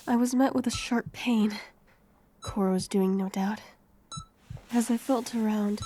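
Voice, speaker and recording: A woman playing an earnest, anxious young heroine, narrating her own story in a measured way for an audio drama. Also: on the high side, mellow, slight background noise, natural voice. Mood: weary, reflective. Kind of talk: scripted first-person audio drama narration.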